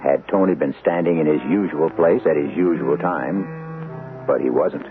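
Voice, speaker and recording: A man narrating a vintage radio mystery as its host, in measured storytelling tones. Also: extremely low pitch, music in the background. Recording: tinny old radio broadcast with noise.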